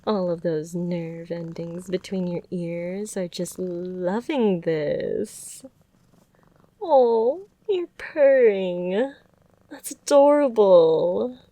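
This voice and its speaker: A doting yandere witch, acted by a woman in an intimate ASMR roleplay. She talks slowly in a dry, close sound, cooing and coaxing. Her sweetness is condescending and possessive, with a sinister edge. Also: fairly low pitch, lively intonation, warm tone, dry recording, heavily acted. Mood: cooing, adoring. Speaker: ASMR voice performer.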